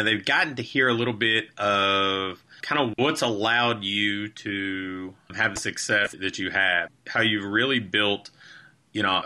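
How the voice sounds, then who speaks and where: Bright-voiced male podcast host. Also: lowish voice. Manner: encouraging, long wandering questions. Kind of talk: podcast interview questions.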